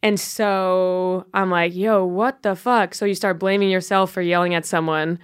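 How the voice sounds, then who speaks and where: A woman's voice: on the low side, steady tone, a little slow, clear, resonant tone. A woman in her thirties, a comedian guest telling a story bluntly and profanely in casual podcast chat. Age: 30s.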